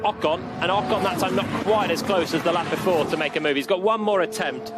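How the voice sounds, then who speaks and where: A man's voice: high-pitched, fairly quick, heavy background noise. A man working as a motor racing co-commentator, giving analytical commentary on live race action.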